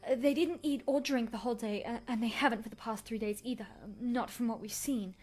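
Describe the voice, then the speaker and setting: A woman's voice: fairly high pitch, brisk, a little mellow, close to an everyday voice. A woman playing a nervous, hesitant researcher delivering a report in an audio drama.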